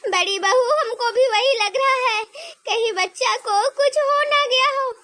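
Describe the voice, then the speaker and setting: A woman's voice: very high voice, unhurried, bright, forceful tone, no echo, heavily acted. A woman playing a bossy, worried middle-aged mother-in-law who scolds and gives urgent orders in a homemade family drama skit.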